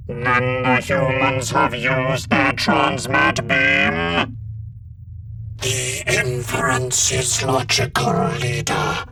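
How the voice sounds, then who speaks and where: Slow, toneless cybernetic giant. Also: male voice, medium pitch, steady tone, creaky, over a noisy crowd, rough recording. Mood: cold, toneless. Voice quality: mechanical, sibilant. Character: emotionless cybernetic giant, toneless machine-like male.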